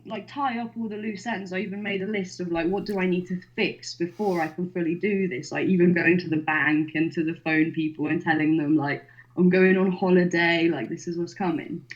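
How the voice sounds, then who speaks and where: A woman's voice: on the low side, a little fast. Chatty young woman, lo-fi sound. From Poland, raised in the UK. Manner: excited, chatty, fillers of "like". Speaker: podcast guest. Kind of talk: casual podcast storytelling. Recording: dull lo-fi sound.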